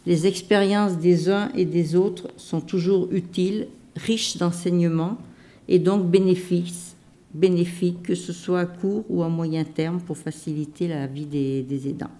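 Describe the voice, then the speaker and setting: Low, dark-voiced woman giving testimony. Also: steady tone. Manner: measured and hesitant, many pauses and restarts. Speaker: caregiver panelist. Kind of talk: personal testimony at a conference round table.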